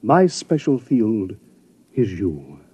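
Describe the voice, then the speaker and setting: A man's voice: fairly low pitch, big pitch swings, takes it slowly, mellow, husky, no room sound, exaggerated voice. A man playing a grandiose, fussy art scholar who has traveled back from the future, in a 1950s radio drama. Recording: muffled old radio broadcast.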